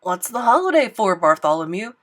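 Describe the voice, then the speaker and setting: A flustered old bell ringer from a storybook who frets and exclaims in alarm, as a woman acts him out. His lines come out loud and startled. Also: mid-range voice, sing-song, a little fast. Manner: startled, fussing.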